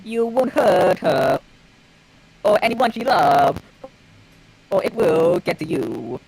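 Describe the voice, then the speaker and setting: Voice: medium pitch, sing-song, slow pace, vocal fry, darkish tone, husky, low-quality recording. A person casually reading story dialogue aloud among friends.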